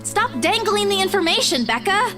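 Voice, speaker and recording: Game character: pushy, passionate young woman, very high-pitched and animated, music underneath. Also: normal speed. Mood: insistent. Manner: insistent, exclaiming. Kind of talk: visual novel game voice acting.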